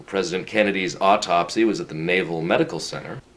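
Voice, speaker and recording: A man's voice: sits low, fast, fairly bright tone. A man playing an unflappable, avuncular senior government commissioner in a radio sitcom, breezy and matter-of-fact. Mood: breezy, reassuring. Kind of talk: sitcom-style radio play dialogue. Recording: light background noise.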